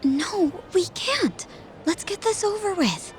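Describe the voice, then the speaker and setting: An actress playing an urgent, secretive young woman in disguise in a video game, insistent and often hushed. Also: very high voice, sing-song, slow, full character voice. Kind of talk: English game dub cutscene acting. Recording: music behind the dialogue.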